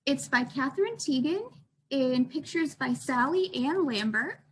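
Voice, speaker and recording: A woman's voice: high-pitched, lively intonation, a little slow, low-quality recording. A woman librarian reading aloud for a children's storytime, expressively addressing young listeners.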